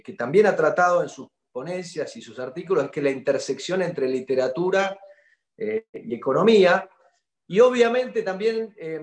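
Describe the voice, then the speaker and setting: Man interviewing, echoey room. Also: fairly high pitch. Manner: halting, many fillers and repeated words. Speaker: interviewer host. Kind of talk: hesitant conversational interview.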